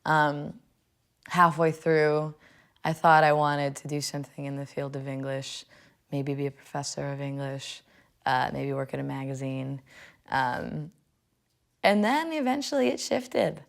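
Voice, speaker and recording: Woman, twenties, American accent, gravelly creak, deliberate phrasing, reflective speech and verse. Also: deep voice. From United States, Illinois. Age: 20s. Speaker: law student reading a poem. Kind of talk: personal reflection and poetry reading. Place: indoors, furnished living room.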